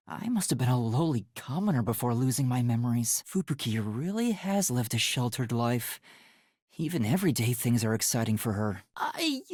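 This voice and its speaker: Husky, earnest young male detective. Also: mid-range voice, a little mellow, no echo, studio quality. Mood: flustered. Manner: hesitant, flustered, earnest, stammering at times. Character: earnest, self-doubting young amnesiac male trainee detective. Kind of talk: English video game voice acting.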